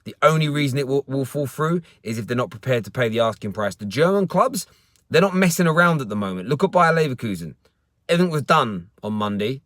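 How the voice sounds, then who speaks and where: A man's voice: mid-range voice, animated, fast, a bit bright, no echo, studio quality. A young man hosting a football talk stream from his parked car, chatting casually, often repeating words and restarting sentences as he answers viewer comments. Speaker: football channel host. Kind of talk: casual live stream chat.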